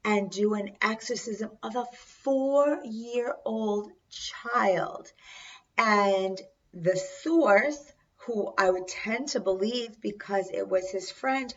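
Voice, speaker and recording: A woman with a raspy, congested voice hosting a video, chatting casually to viewers with conversational, emphatic asides. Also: medium pitch. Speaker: host of a video channel. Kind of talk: casual chat to viewers, recounting film trivia. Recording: distant mic, dull lo-fi sound.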